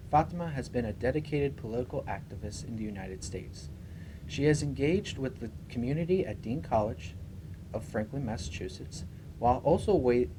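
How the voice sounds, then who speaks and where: A man's voice: medium pitch, darkish tone, rough recording. Young guy hosting, reads his script, trips on words sometimes, faint hiss behind. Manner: stumbles while reading aloud. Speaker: co-host. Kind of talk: reading a scripted intro and questions.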